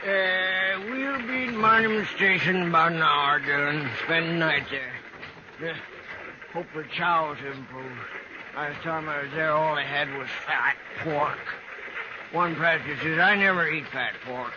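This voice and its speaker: A man acting as a cantankerous frontier old-timer in his eighties, with a highish voice. He is blunt and folksy, in old radio western style. The recording is muffled under heavy noise. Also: steady tone, a little slow, close to an everyday voice. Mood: folksy, reminiscing. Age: 80s. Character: crusty, defiant 85-year-old frontier stagecoach passenger.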